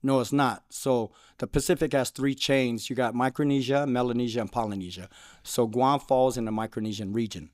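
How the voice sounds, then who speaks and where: A man's voice: mid-range voice, fairly even intonation, brisk, a little mellow, no echo, clean studio sound. A man who founded a car club, talking earnestly in a casual interview and often repeating his phrases. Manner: earnest, repeats phrases, says "you know what I mean".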